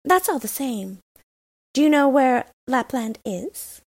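A woman's voice: high voice, lively intonation, slow, creaky, husky, voice pushed up, heavily acted. A woman reading a storybook, voicing a fierce, blunt young robber girl who talks in a bossy way.

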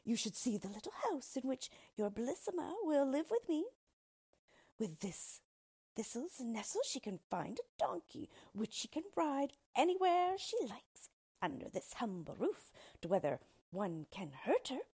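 A woman voicing an ugly, boastful male dwarf who talks in a smug, disdainful, drawn-out way, in a storybook reading. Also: high voice, sing-song, warm tone, breathy edge, pitched above the performer's own voice, heavily acted.

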